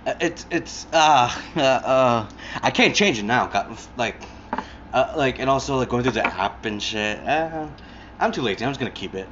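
A man's voice: medium pitch, lively intonation, normal speed, lo-fi sound, background noise. A young man hosting his own podcast alone, rambling casually with lots of fillers, restarts and swearing, recorded on an earbud mic. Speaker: podcast host. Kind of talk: casual solo podcast chat.

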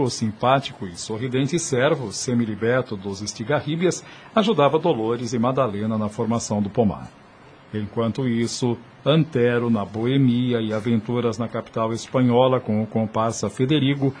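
A man's voice: medium pitch, normal speed, a little mellow. Brazilian guy narrating a radio soap, formal storybook style, mid voice, faint noise behind him. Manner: formal, literary delivery. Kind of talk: scripted literary radio drama narration.

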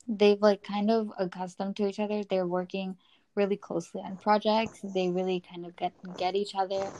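A woman's voice: fairly low pitch, mellow, dry recording, low-quality recording. A young woman co-hosting a podcast, chatting casually and a little hesitantly with plenty of "like" and "you know". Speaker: podcast co-host. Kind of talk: casual TV recap discussion.

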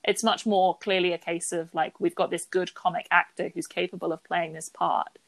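A woman's voice: lowish voice, steady tone, normal speed, creaky, no echo, rough recording. A woman co-hosting a podcast, talking through theatre productions in an analytical way with frequent hedges. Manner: analytical, hedging with "sort of" and "like". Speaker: podcast co-host. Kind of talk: casual chat about theatre.

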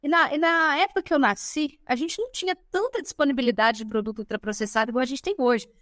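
A Brazilian woman in her thirties talks on a podcast with a high, bright-sounding pitch. Her delivery is lively and chatty, full of quick asides and confirming tags. The sound is close and dry, with no room echo. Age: 30s. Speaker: podcast guest. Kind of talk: casual chat.

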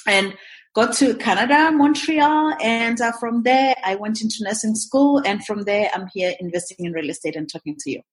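A woman from Zimbabwe, a guest on an interview podcast, telling her story in a lively way and acting out her own past thoughts. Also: fairly high pitch, moderate pace. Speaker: guest, real estate investor. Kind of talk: interview answers.

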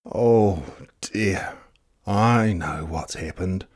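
A man voicing an excitable, fretful old grandmother telling tales, with exclamations and hushed asides. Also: low-pitched, extremely slow, exaggerated voice. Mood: knowing, grumbling.

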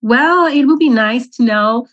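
A woman from Latin America, a mother talking as a podcast guest in a lively casual chat, laughing easily. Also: fairly high pitch, a little slow, no echo, muffled recording. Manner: lively, laughs easily, says "you know" a lot.

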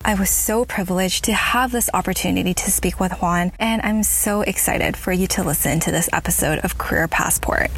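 A woman's voice: lowish voice, normal speed, few pauses, fairly bright tone, breathy edge, low-quality recording. A woman hosting a workplace podcast, reading an upbeat scripted introduction and then interviewing a guest, recorded at home on a budget lapel mic. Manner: upbeat, enthusiastic. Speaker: podcast host.

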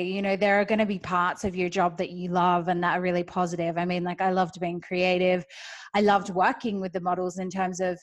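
A woman from Perth with an Australian accent, hosting a podcast interview in an encouraging, reflective way. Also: medium pitch. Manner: encouraging, reflective, uses "you know". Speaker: podcast host. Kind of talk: conversational interview.

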